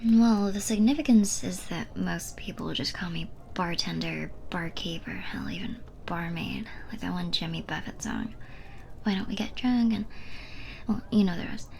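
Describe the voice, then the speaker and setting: A woman playing a flirty, playful bartender in an ASMR roleplay, speaking in a soft, intimate, breathy half-whisper. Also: on the low side, normal speed, a little hiss or hum. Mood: playful, teasing.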